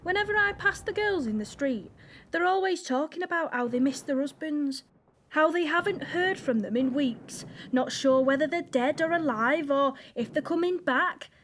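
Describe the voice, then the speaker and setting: An actress playing a frustrated young wife from wartime northern England in an audio drama, blunt and emotional, with a Northern English accent. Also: female voice, sits high, animated, normal speed, a bit bright, a little hiss or hum. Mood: hurt, indignant.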